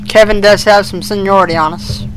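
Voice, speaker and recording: A man's voice: high voice, fairly even intonation, brisk, a bit bright, slight background noise, rough recording. A male co-host chatting casually on a fan podcast, in an amateur recording.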